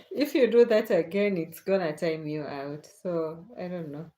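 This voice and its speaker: A woman's voice: lowish voice, warm tone. A Kenyan woman hosting a casual late-night livestream, chatting unhurriedly with viewers and laughing often. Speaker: livestream host. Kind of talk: casual chat reading viewer comments.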